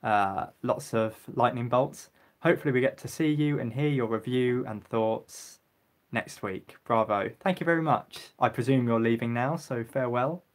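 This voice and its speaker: A man's voice: mid-range voice, moderate pace. Chatty man hosting a live stream, throat getting sore. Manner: chatty, frequent ums, clears throat and coughs. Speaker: live stream host reading viewer comments. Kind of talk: casual live stream chat.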